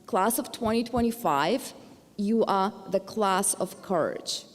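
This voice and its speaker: A woman's voice: mid-range voice, slow. A middle-aged woman from Ukraine with a Ukrainian accent, giving an earnest commencement address as a university trustee, with hesitant fillers and restarts. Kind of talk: formal commencement speech. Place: large hall. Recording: long hall reverb.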